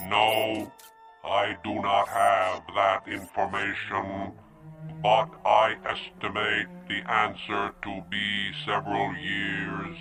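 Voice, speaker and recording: An actor playing a literal-minded male robot, speaking in a flat, measured way in a radio drama. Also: lowish voice, music in the background. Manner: flat, measured, emotionless delivery.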